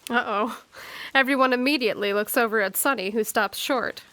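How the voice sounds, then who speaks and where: Audio drama narrator, female actor, slightly high voice, conversational and playful, dry asides. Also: fairly quick, fairly bright tone, lightly acted. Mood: wry. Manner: wry, chatty asides. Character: wry, chatty female narrator of a murder mystery.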